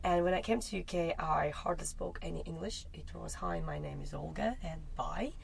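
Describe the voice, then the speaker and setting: A woman from Latvia with a softened Russian accent, chatting playfully in a casual group conversation as a native Russian speaker. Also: on the low side, moderate pace, creaky, slight background noise. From Latvia, Russian family. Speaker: guest, public speaker who works with children.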